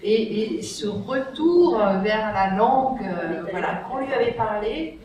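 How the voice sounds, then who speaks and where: A woman author on a panel, speaking hesitantly and reflectively with many pauses and fillers. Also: medium pitch, lively intonation, a little mellow. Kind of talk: round-table discussion. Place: large echoey library hall. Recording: echoey hall from far off, faint noise.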